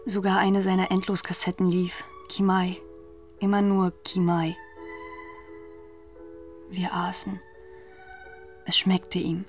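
An actress playing a grave, haunted narrator in a literary radio drama, telling the story in a measured, deliberate way. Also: female voice, fairly low pitch, level tone. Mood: earnest. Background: music.

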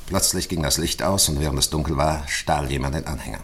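A man's voice: extremely low pitch, brisk, a bit bright, husky, full character voice. An actor playing a well-to-do father reporting a theft in a 1960s German radio crime drama, on a noisy old recording. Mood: grave.

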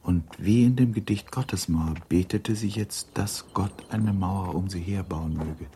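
A man giving a measured, scripted literary narration for a radio drama. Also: deep voice, warm tone, slight background noise.

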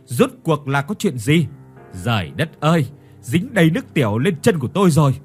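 A storyteller voices a short-tempered older village husband who barks and curses at everyone. His speech is loud and blustering, with a husky grain and big pitch swings. Soft music plays behind. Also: sing-song, moderate pace. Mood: scolding. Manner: scolding, cursing, blustering.

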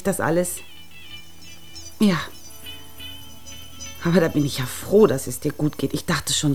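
An actress playing a chatty, meddling older mother in a naturalistic radio drama, fussy and coaxing. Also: fairly low pitch. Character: chatty meddling older mother and wife, well-meaning, insistent.

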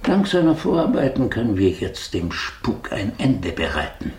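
An actor playing an educated doctor and gentleman investigator in a radio play, speaking formally and deliberately. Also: lowish voice, sing-song. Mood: composed, serious. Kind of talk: naturalistic German radio play acting.

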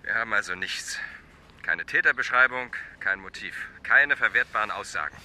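An actor playing a businesslike police captain in a German radio crime drama, decisive, terse and analytical. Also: male voice, on the low side, lively intonation, moderate pace, bright, forceful tone. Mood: brisk, dissatisfied. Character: brisk, businesslike male police captain in charge.

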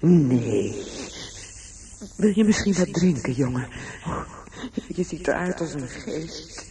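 A man's voice: fairly high pitch, very animated, takes it slowly, crowd noise, full character voice. An actor playing a caring, anxious man in a radio play, speaking in a soothing, hesitant way. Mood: worried. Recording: noisy radio recording with ambience.

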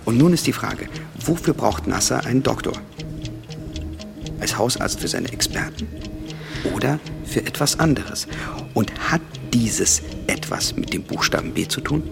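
An actor playing a sober, methodical investigator in a radio drama, laying out a conspiracy with probing questions. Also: male voice, mid-range voice, lively intonation, a little slow, gravelly, in a whisper. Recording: studio radio drama with music underneath. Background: music.

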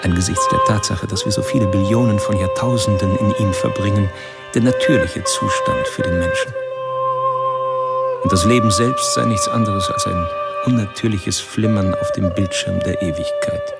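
An actor playing a cerebral, cold-blooded killer confiding detached, measured philosophical thoughts in a radio play. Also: male voice, on the low side, huge pitch swings, gravelly, heavily acted. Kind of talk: first-person monologue in a radio play. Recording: music behind the voice.

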